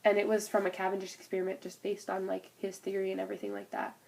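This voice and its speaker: A woman's voice: medium pitch, completely flat delivery, fast talker, creaky, darkish tone. A young woman with a cold and a stuffed-up nose, casually explaining a physics topic for a class recording, with fillers and restarts. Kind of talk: informal spoken class presentation.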